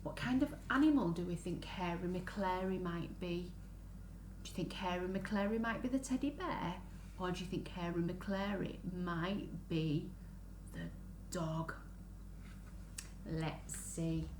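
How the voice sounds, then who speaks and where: A woman reading a picture book aloud to young children in an expressive, sing-song way, stopping to ask them questions. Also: lowish voice, unhurried. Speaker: children's story reader. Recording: roomy echo, faint background noise.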